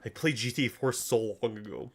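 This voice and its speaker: A man's voice: on the low side, a little fast. A young man from Russia with a slight Russian accent, chatting casually on a livestream in a joking, slangy, self-deprecating way. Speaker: anime commentary streamer. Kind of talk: casual livestream chat, answering viewers.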